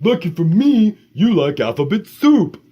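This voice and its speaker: A man's voice: high voice, sing-song, unhurried, husky, highs cut off, voice pushed up, exaggerated voice. A man reading a picture book aloud and voicing a smug, coaxing burglar. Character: sly adult male burglar.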